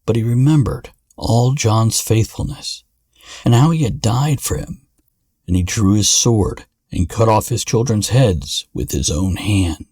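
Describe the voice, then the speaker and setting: A man narrating a fairy tale in a measured, dramatic storytelling manner. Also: lowish voice, darkish tone, breathy edge, dry recording, clean studio sound. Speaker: podcast narrator.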